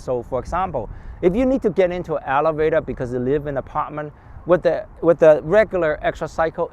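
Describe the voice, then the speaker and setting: A man presenting a product informally outdoors, explaining in a halting, repetitive way with urban traffic around. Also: on the high side, highs cut off. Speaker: bike shop presenter.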